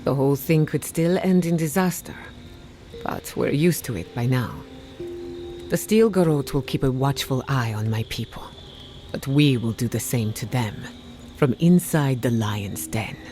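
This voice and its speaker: An actress playing an earnest, burdened but resolute woman scholar in a fantasy video game, speaking in a measured, reflective way. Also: extremely low pitch, expressive, mellow, faint music behind. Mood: wary, resolute. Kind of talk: dramatic video game dialogue.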